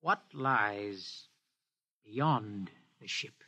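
An actor playing a bold, headstrong young rebel in a 1950s radio drama, intense and challenging. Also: male voice, on the low side, animated, extremely slow, bright, forceful tone, slightly rough, full character voice. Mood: probing. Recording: vintage radio recording, faint hiss.

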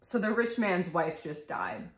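A woman's voice: on the low side, unhurried, echoey room, slight background noise. A woman in her twenties who hosts a video, talking casually and reading storybook-style in a candid, informal manner. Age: 20s. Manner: candid, swears freely, breaks off into asides. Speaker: video host reading a fairy tale aloud. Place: small bedroom, indoors.

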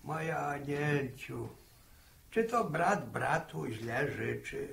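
Old village grandpa, unhurried, gravelly, halting storyteller, good-humoured, 1960s radio drama. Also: male voice, on the high side, lively intonation, fairly bright tone, slight background noise, exaggerated voice. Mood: amused reminiscing. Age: older. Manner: halting, repeating words, chuckling storyteller. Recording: 1960 archive radio drama recording.

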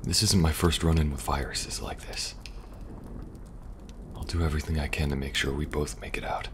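A man playing a calm, protective young special agent in an ASMR audio roleplay, speaking softly and reassuringly with dry humor and a gravelly, at times breathy voice. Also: rumbling low, normal speed. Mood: gentle, reassuring. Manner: soft, hesitant, reassuring, dryly humorous. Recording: audible background noise, ambience.

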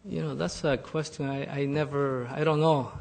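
A man's voice: on the high side, fairly even intonation, normal speed, gravelly, a little mellow. Unsure man answering at a podium. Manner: unsure, trailing off. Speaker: applicant speaking at a podium. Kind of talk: answering council questions. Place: council chamber, standing at podium mic.

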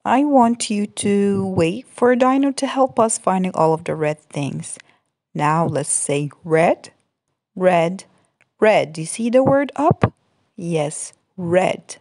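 Slow-talking woman teaching small children. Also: mid-range voice, a little mellow, no room sound. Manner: simple, repetitive, encouraging. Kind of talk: puppet-show lesson for small children. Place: indoor room by a curtained window.

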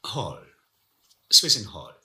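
An actor playing a vain, dangerous island host in a German radio drama, self-important beneath smooth politeness. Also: male voice, fairly low pitch, very animated, drawn-out words, sharp tone, echoey room, exaggerated voice.

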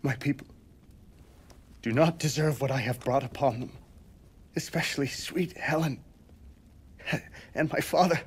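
An actor playing a remorseful young Trojan prince, earnest and pleading, in a dramatic game cutscene. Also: male voice, medium pitch, measured pace, long pauses, breathy edge.

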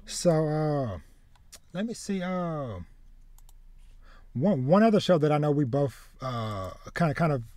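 A man in his thirties hosting a casual anime podcast, speaking haltingly and often repeating words as he goes. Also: fairly high pitch, lively intonation, warm tone, no echo. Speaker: podcast host. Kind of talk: casual chat about anime. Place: small room with bookshelves, indoors, on a video call.

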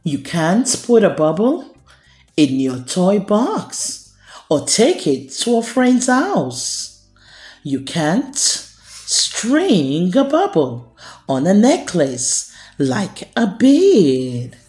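A woman hosting a children's storytime, reading a picture book aloud in a deliberate, expressive storyteller's delivery. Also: fairly low pitch, soft background music.

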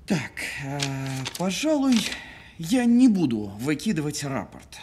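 An actor playing an insecure, flustered police officer who threatens defensively and stammers in an audio drama. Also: male voice, on the high side, huge pitch swings, a little slow, dark tone, a little hiss or hum. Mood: tense, officious. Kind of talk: naturalistic audio film drama acting.

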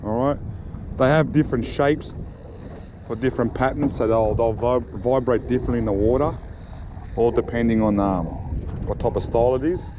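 A man's voice: medium pitch, moderate pace. A middle-aged man chatting casually about his fishing gear, filling his talk with "okay" and "all right," recorded on an action camera on a small boat. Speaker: fishing vlogger. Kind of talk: casual commentary while fishing. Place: small boat on a harbour, outdoors. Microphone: action camera on the angler. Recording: dull action-camera audio with wind noise. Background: wind and water noise.

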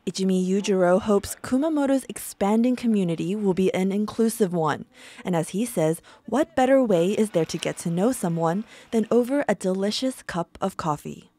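A woman narrating a news report in a measured, scripted delivery. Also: medium pitch, animated, warm tone. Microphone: studio voice-over mic.

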